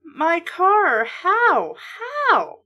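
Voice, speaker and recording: A woman's voice: very high voice, wildly swooping pitch, drawn-out words, bright, forceful tone, pitched above the performer's own voice, full character voice. A woman voicing an anxious, snappish storybook father who sputters in agitation. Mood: shocked, uneasy.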